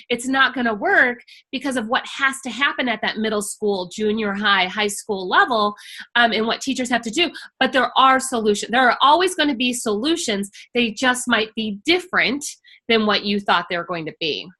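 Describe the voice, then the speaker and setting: A woman's voice: a little high, moderate pace, sharp tone. A middle-aged woman, an education coach, speaking with emphatic passion in a casual conversational workshop. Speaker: IEP coach and workshop host.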